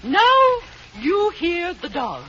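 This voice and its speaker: A woman's voice: very high voice, huge pitch swings, drawn-out words, sharp tone, muffled recording, exaggerated voice. An actress playing a fussy, theatrical female drama coach in an old radio comedy, recorded with a studio audience. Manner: theatrical, gushing, instructing. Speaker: drama coach running auditions. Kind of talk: 1940s radio comedy acting.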